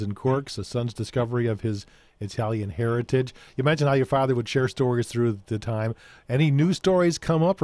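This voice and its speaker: A man hosting a radio interview, asking open questions in a measured way. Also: medium pitch, mellow, no echo. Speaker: radio host.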